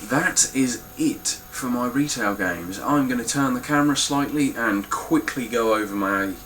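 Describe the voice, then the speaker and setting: A man's voice: medium pitch, unhurried, noisy background. A man with a stuffy, nasal voice giving a casual, blunt spoken review of his game collection, with frequent ums and some swearing.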